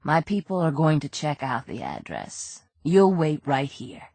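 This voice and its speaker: A worn-out cop, low and steady, dry close sound, read by a woman. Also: measured pace, gravelly, dry recording, heavily acted. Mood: quiet firm. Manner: quiet, steady, tired. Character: weary male police detective, calm and firm.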